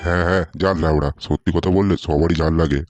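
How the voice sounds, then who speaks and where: A man's voice: deep voice, steady tone, fast talker, music in the background, natural voice. A man voicing a bad-tempered, foul-mouthed older bully in a comedy cartoon, scolding bluntly. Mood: nagging, angry.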